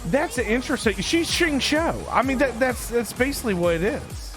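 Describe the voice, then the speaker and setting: A woman game streamer reacting casually out loud in an exclamatory, expressive way. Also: fairly high pitch, moderate pace, over music.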